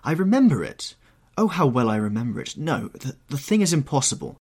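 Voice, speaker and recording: A man's voice: mid-range voice, very animated, a little fast, darkish tone, husky, voice pushed up. A man voicing a horrified, anguished painter who pleads and moralises in an agitated, exclamatory way, in an audiobook story. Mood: horrified, disbelieving.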